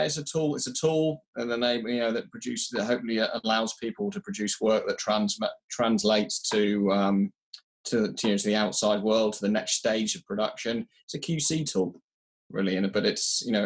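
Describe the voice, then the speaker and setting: A man giving technical answers in an interview, measured and explanatory, pausing to find his words. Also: fairly low pitch, steady tone, breathy edge, poor audio. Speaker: audio company representative being interviewed.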